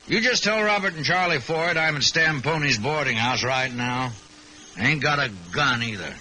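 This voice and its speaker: Bright, forceful Old West sheriff. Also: male voice, a little high, moderate pace. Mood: cool, pressing. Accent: American. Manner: clipped, folksy, confrontational. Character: bold, folksy Old West sheriff, coolly goading outlaws. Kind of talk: 1950s radio drama acting. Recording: hissy, noisy vintage radio recording.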